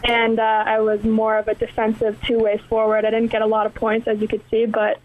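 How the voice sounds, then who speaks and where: A woman's voice: a little high, completely flat delivery, brisk, phone-quality sound. A woman in her twenties from Boston with an American accent, answering interview questions casually with lots of "you know" and "um". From Boston, USA. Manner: friendly, many fillers like "you know" and "um". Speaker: ice hockey player guest.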